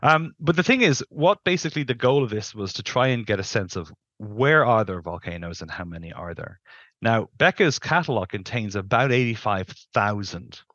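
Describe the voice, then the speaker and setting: Low-voiced man explaining, dull dry sound. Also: lively intonation, moderate pace, fairly bright tone, dry recording. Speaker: planetary scientist, interview guest. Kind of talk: explanatory interview talk. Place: indoors on a video call. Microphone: headset mic.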